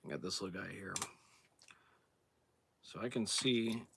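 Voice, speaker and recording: A hoarse man clearing his throat as he explains a cleaning job step by step in a relaxed, plain-spoken way, sometimes moving away from the camera mic. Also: on the low side, unhurried, no room sound. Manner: relaxed, plain-spoken, thinking aloud while working. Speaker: outdoors channel host. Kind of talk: casual hands-on demonstration.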